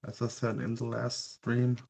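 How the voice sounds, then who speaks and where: A man with a Swedish accent, native Swedish, chatting slowly and hesitantly on a crafting live stream, with frequent restarts. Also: mid-range voice, flat delivery, vocal fry, a little mellow, slight background noise. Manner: slow, hesitant, rambling with many restarts. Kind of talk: casual live-stream chat while crafting. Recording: dull lo-fi stream audio.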